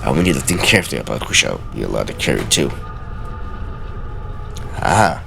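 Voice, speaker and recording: A man with a gravelly voice doing a theatrical, deliberate dramatic reading as a let's play host, sometimes stumbling over words. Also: rumbling low, very animated. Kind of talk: dramatic reading of video game journal pages. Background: music.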